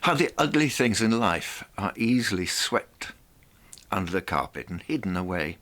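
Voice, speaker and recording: A man presenting a reflective monologue in a theatrical, deliberate way, with rhetorical asides. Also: on the low side, expressive, fairly bright tone.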